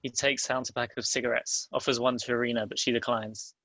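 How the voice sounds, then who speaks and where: Man, screenplay narrator, stage directions, bright forceful tone, flat even pitch, dry recording. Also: fairly quick, dry recording.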